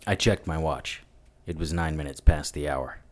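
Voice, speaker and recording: A world-weary supernatural investigator narrates in a very deep voice. He is dry and wry, telling his own story in noir audio drama style. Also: normal speed. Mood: dry, resolute. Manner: dry, matter-of-fact, wry.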